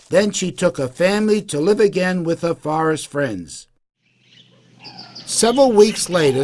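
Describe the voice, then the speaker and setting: A man's voice: high voice, slight background noise. A man narrating a scripted children's story for English learners.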